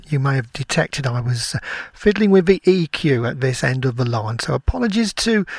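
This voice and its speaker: A man's voice: medium pitch, no echo, studio quality. A man presenting a music radio show, chatting loosely and rambling between records with a self-deprecating manner.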